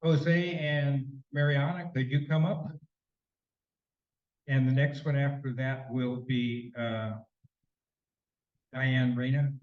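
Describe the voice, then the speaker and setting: A man co-hosting a neighborhood homeowners' meeting in a senior center hall, talking haltingly with many fillers and a slight cold. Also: medium pitch, fairly even intonation, highs cut off. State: sneezing, slightly congested. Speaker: meeting co-host.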